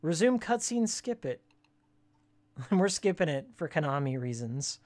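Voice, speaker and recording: A live game streamer with a medium-pitched, raspy voice that sounds worn from screaming. The talk is casual and rambling, full of fan trivia, slang and blunt, joking opinions. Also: very animated, unhurried, darkish tone.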